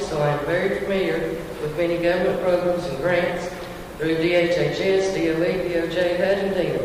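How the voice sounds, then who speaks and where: A man giving a prepared candidate statement at a public forum, in a brisk, list-like delivery. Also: sits high, noisy background. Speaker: county commissioner candidate. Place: large public meeting hall. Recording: echoey hall from far off.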